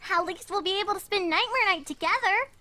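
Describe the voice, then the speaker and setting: Young filly pony, childlike, squeaky, very high-pitched, sharp bright tone, plucky, storybook character. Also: female voice, fast talker. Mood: consoling.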